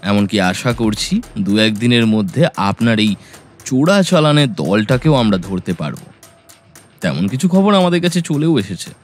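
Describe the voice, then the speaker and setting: A man's voice: lowish voice, very animated, moderate pace. A man playing a shrewd, confident detective in an audio story, laying out accusations in a probing, methodical way. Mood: firm, confident. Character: shrewd, confident male detective confronting a suspect. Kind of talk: Bengali audio story acting. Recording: music bed under voice.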